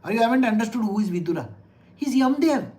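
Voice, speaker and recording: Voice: mid-range voice, expressive, fast talker, mellow. A spiritual teacher with an Indian English accent giving a scripture discourse in a scolding, sarcastic manner, slipping into Hindi phrases.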